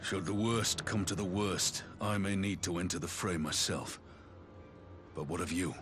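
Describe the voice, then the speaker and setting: An actor playing a composed, dignified military commander in a fantasy war game, speaking formally and deliberately. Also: male voice, sits low, fairly even intonation, music in the background, close to an everyday voice. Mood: composed, resolute. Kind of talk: dramatic video game voice acting.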